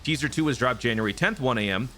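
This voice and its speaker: Gaming channel host, male, bright tone, talky and explanatory, soft music bed. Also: medium pitch, fast talker. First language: not Japanese. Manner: chatty, explanatory, frequent "right?" tags. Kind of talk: casual commentary and speculation.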